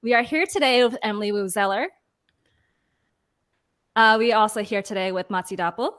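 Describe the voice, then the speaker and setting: A woman's voice: medium pitch, normal speed, fairly bright tone. A woman moderating a panel discussion, introducing guests and putting questions to them.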